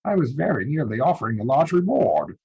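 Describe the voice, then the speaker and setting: Muffled zoom recording, guy doing proper young gentleman suitor, earnest n easily flustered, lively pitch, stage comedy. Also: brisk, creaky, husky, heavily acted. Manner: formal, mannered, indignant delivery. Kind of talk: dramatic reading of a period stage comedy. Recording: dull lo-fi video-call audio.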